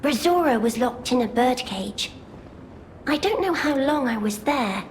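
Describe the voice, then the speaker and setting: Slow, high-pitched childlike doll girl. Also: expressive, slight background noise. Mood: wistful, pleading. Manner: speaks of herself by name, pleading, naive. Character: childlike wistful doll girl longing for freedom. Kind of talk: scripted video game character acting.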